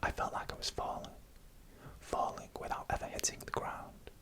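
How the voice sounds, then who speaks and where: The storyteller whispers throughout in a breathy ASMR style, close to the mic. The whisper is bright and sibilant, with no voiced tone. Phrases come slowly and with suspense, separated by short pauses. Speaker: narrator.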